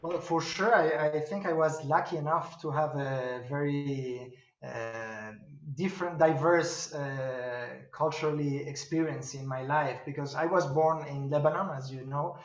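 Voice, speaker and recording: A middle-aged man, a pianist and professor, answering interview questions in English, halting and thoughtful with many fillers and restarts. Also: fairly high pitch, steady tone. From Spain. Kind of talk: video-call interview answers. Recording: roomy, distant, dull video-call audio.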